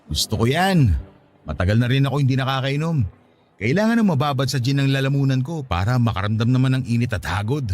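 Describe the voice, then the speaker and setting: A man's voice: mid-range voice, expressive, fast talker, a little mellow, natural voice. A man acting an easygoing, playful but evasive husband of thirty-five in a Tagalog radio drama. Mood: cheerful, eager.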